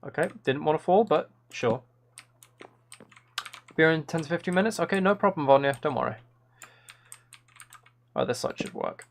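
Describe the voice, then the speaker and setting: A man's voice: fairly high pitch, expressive, moderate pace, pauses often, slight background noise. A man chatting casually on a live game stream, musing and thinking aloud as he plays. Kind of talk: casual live stream chat while playing.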